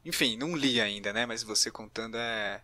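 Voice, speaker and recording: A man's voice: on the low side, moderate pace, pushed and bright, dry recording. A man with a Brazilian Portuguese accent hosting a casual interview, informal and curious in the way he talks. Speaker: podcast host, interviewer.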